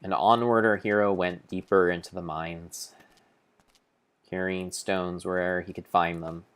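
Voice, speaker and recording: A man giving a grave, theatrical, storybook-style narration of video game play, in deliberate phrases with pauses. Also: sits low, level tone, fairly bright tone, clear, resonant tone. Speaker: streamer narrating his gameplay.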